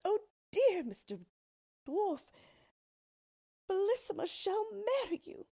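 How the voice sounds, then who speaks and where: A woman's voice: mid-range voice, wildly swooping pitch, moderate pace, dark tone, breathy edge, no room sound, clean studio sound, pitched above the performer's own voice, exaggerated voice. A woman voicing a frightened, pleading storybook queen with a wailing, melodramatic delivery. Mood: terrified. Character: frightened, pleading fairytale queen, anxious mother. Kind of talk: storybook character reading.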